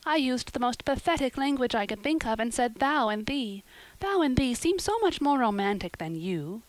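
A romantic young girl from a storybook, acted by a woman narrator, pitched high and full of drama. She speaks with great earnestness in flowery, old-fashioned turns of phrase, in a close, dry recording. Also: sing-song, moderate pace, dry recording, low-quality recording. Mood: melodramatic woe. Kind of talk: audiobook character voice.

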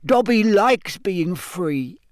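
A man voicing a small, eager male house-elf servant with a squeaky, deferential voice in an audiobook. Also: lively intonation, takes it slowly, no room sound, studio quality. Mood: earnest, proud. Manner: speaks of himself in third person, servile. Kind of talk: audiobook character voice.

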